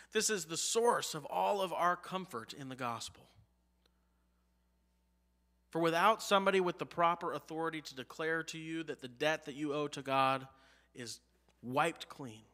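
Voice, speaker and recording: A fairly high, bright-voiced American man in his thirties delivers a sermon into a pulpit mic. His delivery is earnest, climbing on key words and sinking to a hushed, almost whispered finish at the end of lines. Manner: earnest preaching, rises on key phrases, drops low and soft at line ends. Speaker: pastor. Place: church sanctuary, behind a pulpit. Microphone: pulpit mic, medium-wide camera.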